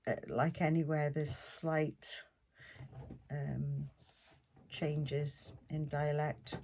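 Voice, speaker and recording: A woman's voice: low voice, darkish tone. An older woman with a South Welsh accent, hosting a podcast in a casual, meandering, homely chat. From South Wales. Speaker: podcast host.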